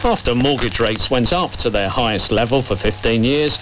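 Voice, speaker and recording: A man reads a scripted correspondent's report at a medium pitch. Clear shortwave noise runs through the recording. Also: moderate pace. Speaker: cost of living correspondent. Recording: noisy shortwave radio relay.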